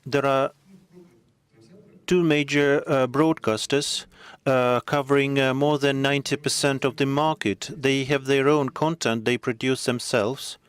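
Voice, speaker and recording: Slow, clear-voiced man on a panel. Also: mid-range voice, fairly even intonation. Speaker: conference panelist. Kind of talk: panel discussion.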